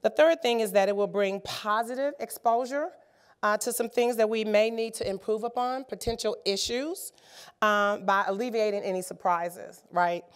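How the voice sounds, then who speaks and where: A woman in her fifties, slightly raspy from a sinus cold, giving an encouraging conference workshop talk with frequent ums and uhs. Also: medium pitch, moderate pace. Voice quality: many filler ums and uhs. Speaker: workshop presenter. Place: conference room.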